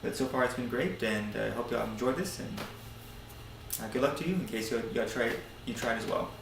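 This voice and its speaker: An American man giving a casual DIY demonstration of a hobby project, speaking in a hesitant, halting, informal way. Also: mid-range voice, vocal fry, noisy background. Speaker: hobbyist maker presenting a project.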